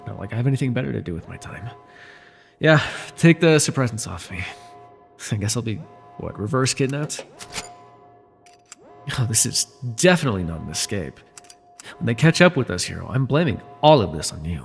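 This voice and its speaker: A man playing a captured telepath supervillain, a sardonic and worldly former mentor, in an immersive audio roleplay, with a husky, gravelly voice and theatrical, wry delivery. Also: mid-range voice. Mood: exasperated, relenting. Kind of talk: immersive ASMR roleplay voice acting. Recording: long reverb, faint music behind.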